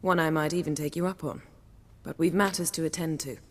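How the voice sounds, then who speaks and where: An actress playing a self-assured sorceress in a video game, poised and articulate with an arch, teasing formality. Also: female voice, low voice, steady tone, a little fast. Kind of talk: dramatic video game voice acting. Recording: long hall reverb.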